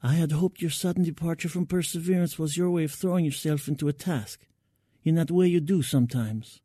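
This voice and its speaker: A man voicing a tense, earnest female spaceship crewmate in an audiobook story, measured and coaxing. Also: on the high side, warm tone, no room sound, clean studio sound, voice pushed up, lightly acted. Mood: sad, resigned.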